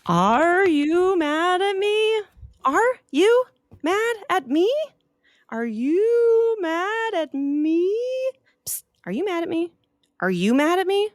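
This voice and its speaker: A worried young storybook girl with a high, pleading voice that sounds anxious and eager for reassurance, as a woman puts her on. The voice is close and dry. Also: big pitch swings, slow, no echo, exaggerated voice.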